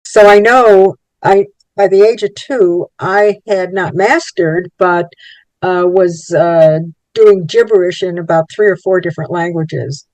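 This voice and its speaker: An elderly speaker in their eighties whose first language was Yiddish, recounting early life in a measured, searching way with a touch of wry humor. The pitch sits at a middling level. The sound is dry and close, with no room echo. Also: steady tone, a little mellow. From Poland. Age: 80s. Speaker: Holocaust child survivor giving testimony. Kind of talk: personal recollection for a documentary.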